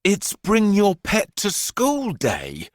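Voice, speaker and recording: Man putting on a high-pitched flustered schoolteacher. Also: wildly swooping pitch, slow, dry recording, clean studio sound, exaggerated voice. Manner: spluttering. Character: flustered, spluttering male schoolteacher.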